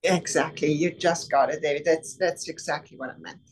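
A middle-aged woman from Rome with an Italian accent, a professor speaking in a casual interview, thoughtful with many pauses. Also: low voice, breathy edge. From Rome, Italy. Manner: warm, thoughtful, many "um" pauses, laughs easily. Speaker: biology professor, guest.

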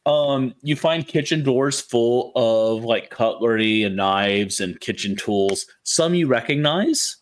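A man narrating a tabletop game as game master in a casual, improvised way, halting and correcting himself as he goes. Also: medium pitch. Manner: halting, self-correcting delivery.

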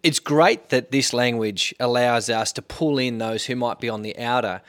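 A man's voice: mid-range voice, moderate pace, a bit bright. A man hosting a podcast interview, talking earnestly and telling a personal story before asking his guest a question. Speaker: podcast host.

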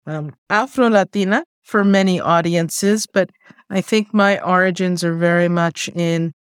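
A woman whose first language is Spanish, a guest on an interview podcast, answering in a measured, thoughtful way. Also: lowish voice, clear, resonant tone, dry recording, clean studio sound. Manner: measured, thoughtful, speaks in long considered phrases. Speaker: guest, diversity executive.

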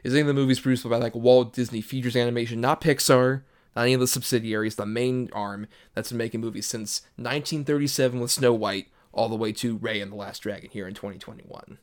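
A man talks as a podcast co-host with a medium-pitched voice. He keeps things casual and conversational. His manner is playful and jokey, as in easy banter between co-hosts. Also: steady tone, fast, hardly any pauses. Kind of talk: casual movie podcast chat.

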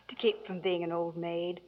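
A woman playing a gentle, devoted young wife in 1940s radio acting style. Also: fairly low pitch. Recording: tinny vintage radio recording, crowd noise.

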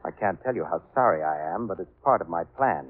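A man playing a courteous, softly menacing murderer in a 1940s radio drama, with mock regret. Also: sits low, lively intonation, fairly quick, breathy edge. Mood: calmly menacing. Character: suave, coldly polite male murderer, mock-regretful. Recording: muffled, narrow-band old radio broadcast.

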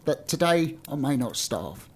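A man's voice: fairly high pitch, sing-song, measured pace, slightly rough, full character voice. A man voicing a humble, ragged old beggar from a storybook, pleading for charity.

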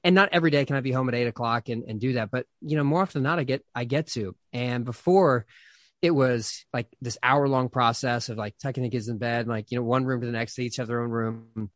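A man's voice: medium pitch, quick pace, a bit bright, dry recording. Casting: man, 30s, pastor, loose and chatty, dry close mic. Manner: chatty, rambling with many fillers and restarts. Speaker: pastor and author. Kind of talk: casual interview conversation.

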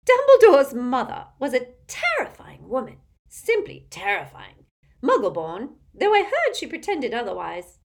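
A woman's voice: fairly high pitch, wildly swooping pitch, measured pace, voice pushed up, heavily acted. A woman voicing a haughty, spiteful, tipsy old witch aunt in a storybook, cackling and screechy, with an emphatic gossipy manner. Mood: haughty, relishing.

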